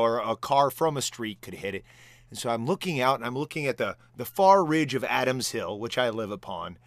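A man's voice: medium pitch, no echo. A man co-hosting a podcast in casual chat, with a wry, rambling way of talking. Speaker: podcast co-host.

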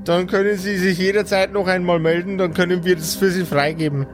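Man playing a high-voiced officious agency supervisor. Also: little pitch movement, natural voice. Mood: officious, halting. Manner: formal, halting. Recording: music behind the voice.

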